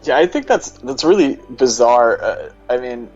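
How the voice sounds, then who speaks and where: A man's voice: medium pitch, big pitch swings, normal speed, gravelly, a little mellow. A man talking casually as a guest on a podcast. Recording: dull lo-fi mic, faint music behind.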